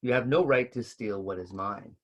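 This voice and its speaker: A man's voice: mid-range voice, normal speed, fairly bright tone, lo-fi sound, slight background noise, close to an everyday voice. Man putting on a vain, ranting storybook pond spirit. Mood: panicked outrage. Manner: theatrical, indignant, ranting. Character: vain, selfish, easily outraged male pond spirit. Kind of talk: storybook read-aloud.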